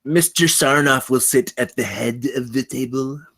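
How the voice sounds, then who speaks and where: A man playing a measly, stubbornly officious businessman in his late thirties at a script table read, with a flat, unbudging way of talking. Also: mid-range voice, moderate pace. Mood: flatly insistent. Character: measly little 38-year-old businessman, stubbornly officious underling.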